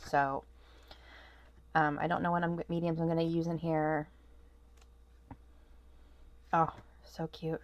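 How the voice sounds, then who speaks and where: Woman, low voice, nasal from a cold, casual desk chat, lots of ums. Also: little pitch movement, slow, pauses often, lo-fi sound. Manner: chatty and rambling, many ums and restarts. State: stuffy nose from a cold. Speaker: vlog host. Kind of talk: casual haul vlog chat. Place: at a home desk.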